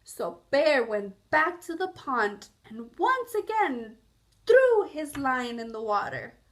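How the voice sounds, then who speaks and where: Female children's story reader, high and very animated, explanatory, unhurried. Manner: explanatory, asks listeners questions. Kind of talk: read-aloud storytelling for young children.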